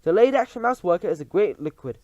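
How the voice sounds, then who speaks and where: A man's voice: sits high, lively intonation, fast, mellow, no echo, lo-fi sound, voice pushed up. A man reading aloud and voicing a tiny, tyrannical female witch leader who shrieks orders in stilted, odd grammar. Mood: lecturing.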